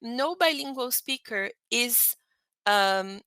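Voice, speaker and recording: A woman's voice: fairly high pitch, animated, slow, bright, forceful tone, smooth, dry recording. A woman, giving a conversational lecture to teachers with frequent fillers and checks for agreement. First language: Portuguese. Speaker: teacher trainer. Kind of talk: online lecture presentation.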